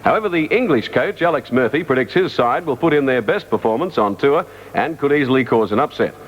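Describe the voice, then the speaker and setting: A man's voice: mid-range voice, expressive, a little fast. A man with an Australian accent reading a scripted news bulletin on 1970s radio. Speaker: radio newsreader. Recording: dull 1975 AM radio aircheck.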